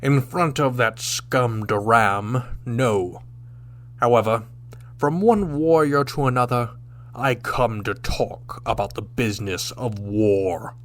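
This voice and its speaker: A man's voice: medium pitch, slow, natural voice. Man playing a proud, aging alien warrior captain. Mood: stern. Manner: formal, declamatory, unyielding. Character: proud, aging Klingon warrior captain, stern and honor-bound. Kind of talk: sci-fi audio drama acting.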